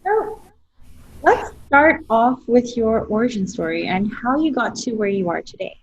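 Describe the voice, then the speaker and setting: A woman's voice: a little high, big pitch swings, measured pace, highs cut off, a little hiss or hum. Upbeat woman podcast host. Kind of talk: scripted introduction and interview questions.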